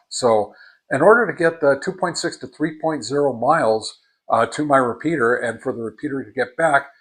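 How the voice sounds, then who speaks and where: A man giving a casual spoken product review in a halting, deliberate way, repeating words for emphasis as a hobbyist reviewer. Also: medium pitch, rough recording.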